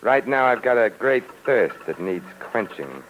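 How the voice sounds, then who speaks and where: An actor playing an urbane, witty gentleman sleuth with mock-polite delivery in an old-time radio drama. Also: medium pitch, big pitch swings, measured pace, highs cut off. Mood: wry, amused. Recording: noisy vintage radio recording.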